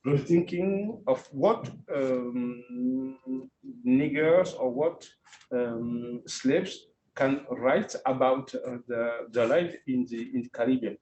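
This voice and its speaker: Male academic panelist, slow and halting, mellow voice, roomy lo-fi sound. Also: mid-range voice. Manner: halting, many fillers and restarts. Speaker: visiting professor. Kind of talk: panel conversation.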